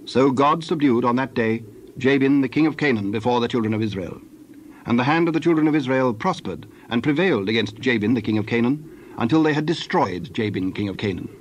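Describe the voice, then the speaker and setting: Mid-pitched male scripture narrator. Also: fairly quick, lo-fi sound, a little hiss or hum.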